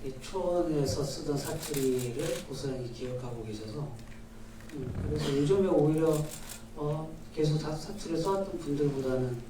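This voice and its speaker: A man, the film's director, answers a question in a calm, explanatory way. He is heard from a distance in a very echoey cinema hall with steady noise behind him. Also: fairly high pitch. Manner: measured, explanatory. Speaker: film director. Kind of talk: press conference answers. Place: cinema hall at a press screening.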